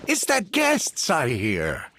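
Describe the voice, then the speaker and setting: A man playing a gentle, grateful old village chief in a video game, speaking in a gracious, measured, wistful manner. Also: fairly high pitch, wildly swooping pitch, slight background noise, exaggerated voice. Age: older. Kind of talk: video game dialogue acting.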